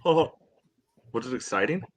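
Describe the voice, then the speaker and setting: A man co-hosting a sports fan podcast in casual chat, with a dry, teasing manner and halting storytelling. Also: mid-range voice, animated, fairly bright tone, dry recording. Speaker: podcast co-host.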